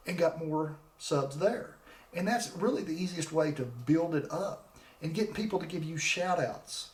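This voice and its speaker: A man's voice: fairly high pitch, a little mellow, roomy sound. A man in his forties hosting his own podcast, talking casually and rambling with frequent restarts into a desk microphone. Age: 40s. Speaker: podcast host. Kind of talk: casual solo podcast talk. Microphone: desk microphone with laptop.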